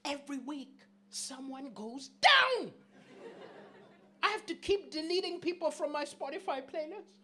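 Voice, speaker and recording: A middle-aged man from southern Africa performing stand-up comedy on a small club stage, chatty and animated, questioning the audience into a handheld mic. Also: very high-pitched, slight background noise. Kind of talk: stand-up comedy set with crowd work.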